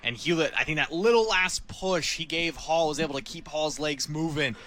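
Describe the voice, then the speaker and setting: A man's voice: a little high, normal speed, pushed and bright. A man with an American accent giving live football analysis as a color commentator.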